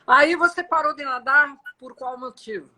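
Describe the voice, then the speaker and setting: A woman hosting an interview who asks brisk, teasing questions. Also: high voice, heavy background noise. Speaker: interviewer, host.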